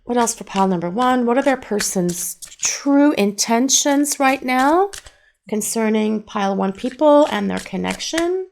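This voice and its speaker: A middle-aged woman hosting a tarot reading, speaking in a calm, measured way and gently repeating her questions as she draws each card. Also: a little high, vocal fry, slight background noise. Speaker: tarot reader.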